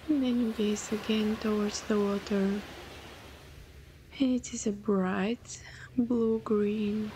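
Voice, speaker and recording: A woman leading a guided meditation in a soothing, breathy voice that often softens almost to a whisper. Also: medium pitch. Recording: clear background noise, ambient sound.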